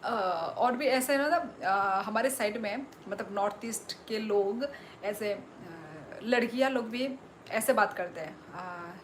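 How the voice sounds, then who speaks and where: A woman's voice: a little high, a little hiss or hum. A young woman from Tripura with a Northeast Indian accent, chatting casually on a live stream while reading viewer comments aloud. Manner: chatty, reads out viewer comments and thanks them.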